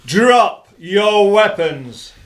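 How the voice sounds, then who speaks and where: A man's voice: sits high, very animated, drawn-out words, background noise, heavily acted. An amateur actor playing a cheeky, bumbling male outlaw in a comic radio play. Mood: bold.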